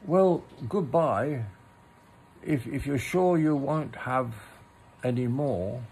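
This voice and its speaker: A man's voice: mid-range voice, slow, darkish tone, slightly rough, low-quality recording. A man voicing a polite, fussy, evasive storybook rabbit with a prim manner that can turn stern. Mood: politely evasive. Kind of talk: storybook character reading.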